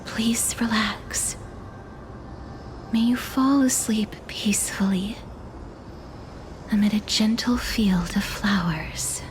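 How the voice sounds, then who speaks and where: A woman voicing a gentle, solemn young guide of souls in a video game, with soft, formal, measured speech. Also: medium pitch, background noise, close to an everyday voice. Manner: soft, measured, formal, breathy phrase endings. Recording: background music under the voice.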